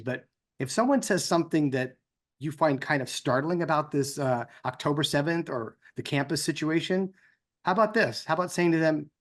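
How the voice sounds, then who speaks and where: Higher-pitched American man giving an informal speech. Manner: conversational, acts out dialogue in anecdotes. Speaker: organization co-founder giving a speech. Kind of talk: informal speech with personal anecdotes.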